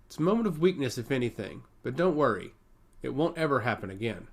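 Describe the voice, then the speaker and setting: A man's voice: mid-range voice, very animated, quick pace, vocal fry. A man voicing a blunt, easygoing noble brother in a fantasy audiobook, direct and wry. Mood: teasing.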